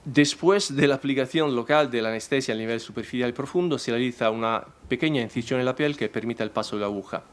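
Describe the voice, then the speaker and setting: Non-native male presenter, mid-pitched, halting delivery with many restarts. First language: not Spanish. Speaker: conference presenter. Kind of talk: reading a technical talk.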